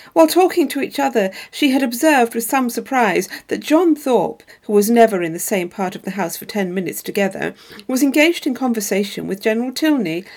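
Higher-voiced woman reading a classic novel aloud. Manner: measured, expressive reading. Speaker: audiobook reader. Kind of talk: scripted narration of a classic novel.

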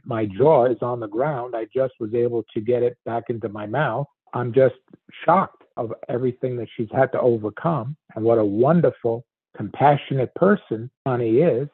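An older man giving earnest, reflective commentary in a deliberate way, searching for words, through a narrow phone-like recording. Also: mid-range voice, husky, no echo. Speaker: podcast commentator, a grandfather. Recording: dull, narrow phone-like sound.

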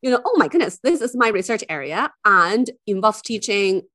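A woman's voice: medium pitch, sing-song, moderate pace, fairly bright tone, no room sound, studio quality. A woman from China, a university officer, chatting as a podcast guest, enthusiastic and full of fillers and restarts. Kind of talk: casual interview chat.

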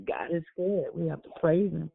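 A woman hosts a morning prayer conference call, repeating warm greetings to each caller as they join. Her slightly raspy voice comes through a dry, tinny phone line. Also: sits low, normal speed, no room sound. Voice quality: gets raspy. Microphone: telephone.

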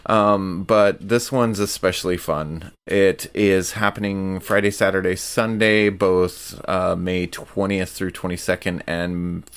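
A man with a very deep, low voice chats on a tabletop roleplaying podcast. He sounds upbeat and enthusiastic. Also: flat delivery, takes it slowly, hardly any pauses, smooth. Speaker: player and musical performer. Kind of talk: casual podcast chat.

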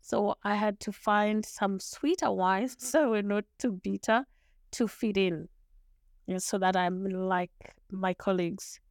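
Earnest Zimbabwean woman, smooth voice. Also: mid-range voice, a little slow, dry recording, clean studio sound. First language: Shona. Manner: earnest, thoughtful, frequent restarts and small laughs. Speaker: guest, lawyer. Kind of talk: podcast interview, reflective storytelling.

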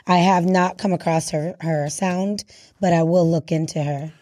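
A woman interviews in a relaxed conversational style, as the host. Her voice is fairly low and notably mellow, recorded dry and close. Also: normal speed, no echo.

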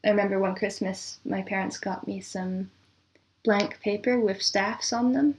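A woman answering as a composer guest in a casual, chatty interview, quick to laugh. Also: mid-range voice, steady tone.

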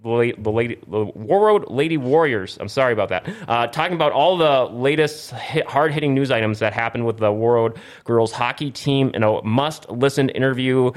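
A man with a medium-pitched voice hosts a casual gaming podcast. He rambles along informally, tripping on words and fixing them as he goes. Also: normal speed, few pauses. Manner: rambling, stumbles over words and corrects himself. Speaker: podcast host. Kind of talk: casual video game talk show with plugs and sponsor reads.

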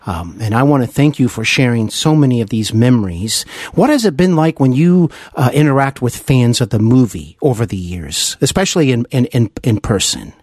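A man hosts a conversational interview in a medium-pitched voice. He speaks effusively but haltingly, doubling back on words, with a dry sound free of room echo. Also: animated, a little mellow, husky. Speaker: interview host.

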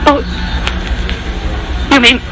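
A woman's voice: extremely slow, noisy background. An actress playing a devoted, emotional young woman in love in an old-time radio drama, earnest and wistful. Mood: upset, urgent. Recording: old radio recording with music behind.

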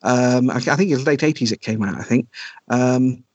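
A man's voice: mid-range voice, moderate pace, no echo. Hesitant man, dry lo-fi sound. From South Wales. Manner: hesitant, many ums and restarts. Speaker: podcast guest, comic writer. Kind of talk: casual interview chat.

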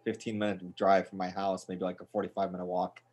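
A man's voice: low voice, level tone, fairly quick, a little mellow, a little hiss or hum. An American man speaking as a podcast guest in a casual interview, informal and enthusiastic, often repeating words for emphasis. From United States, north of Chicago. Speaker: podcast guest, Greek Orthodox priest.

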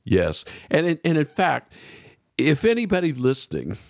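A male radio host with a lively, wide-ranging delivery and some gravel in his voice. He interviews in an emphatic, effusive manner, recorded in a dry studio sound. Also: a little high, moderate pace, dry recording.

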